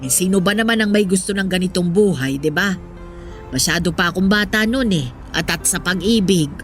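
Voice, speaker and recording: A woman playing an old, poor Filipino mother in a radio drama, wistful and gently wise, speaking in an earnest, reflective way. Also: lowish voice, brisk, lightly acted. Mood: rueful. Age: older. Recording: music behind the voice.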